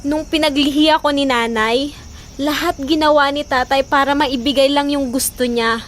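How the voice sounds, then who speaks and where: A woman plays a pouty pregnant girlfriend in an audio drama. Her voice is very high, whiny and insistent, full of vain demands. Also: steady tone, normal speed, a little hiss or hum, lightly acted. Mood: insistent, boastful. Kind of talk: Filipino audio drama voice acting.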